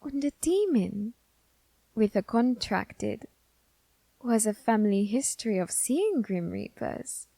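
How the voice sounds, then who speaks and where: A woman's voice: on the high side, warm tone, dry recording, heavily acted. A woman playing an eager, curious young Grim Reaper scholar in an ASMR roleplay, fascinated and politely menacing. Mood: musing, menacing. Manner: fascinated, deliberate, politely threatening. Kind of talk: ASMR roleplay addressed to the listener.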